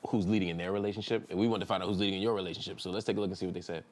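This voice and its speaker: A man hosting a talk show, expressive and upbeat as he leads the discussion. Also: sits low, rapid-fire, over loud music. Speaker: host. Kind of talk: talk show hosting, reading viewer comments.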